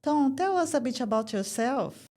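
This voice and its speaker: A woman's voice: high voice, lively intonation, moderate pace, a little mellow. A woman hosting a podcast interview, reading short scripted questions. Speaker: podcast host.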